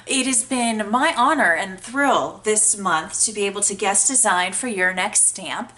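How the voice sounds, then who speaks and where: A woman's voice: mid-range voice, measured pace, bright, forceful tone. A woman giving a casual craft tutorial in a chatty, enthusiastic way, her voice slightly scratchy and congested from a sinus infection. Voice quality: a little scratchy. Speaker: crafting tutorial host, card maker. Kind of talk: casual how-to craft demonstration.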